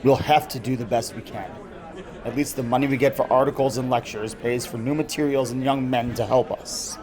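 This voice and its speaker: A man playing a gentle, absent-minded scientist husband in an old time radio drama, musing and hesitant. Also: medium pitch, fairly even intonation, brisk, crowd noise, close to an everyday voice. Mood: concerned, resigned.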